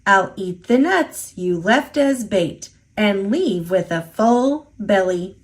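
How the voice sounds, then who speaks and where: A woman's voice: mid-range voice, expressive, takes it slowly. A woman voicing a mischievous, boastful little old male leprechaun in a storybook, with a playful, taunting, rhyming lilt. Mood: cocky, teasing. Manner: playful, taunting, sing-song rhyming delivery.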